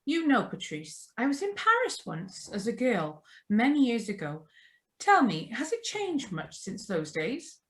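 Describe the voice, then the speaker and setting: A woman's voice: on the high side, wildly swooping pitch, unhurried, fairly bright tone, exaggerated voice. A woman acting a chatty, doting older mother-in-law in an old-style radio drama read over a video call. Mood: chatty, insistent. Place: remote live reading over video call.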